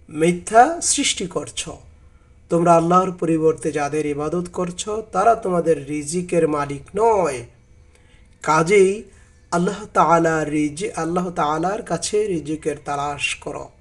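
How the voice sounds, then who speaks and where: A man with a slightly high voice works as a religious narrator, reading translated scripture and explaining it. His delivery is slow and deliberate, sometimes repeating a phrase.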